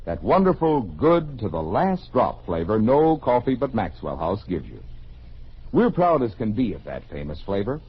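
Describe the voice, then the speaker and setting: An American man reading a scripted sponsor pitch in an emphatic vintage radio announcing style. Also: sing-song, moderate pace, husky. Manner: emphatic sales delivery. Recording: muffled old radio broadcast.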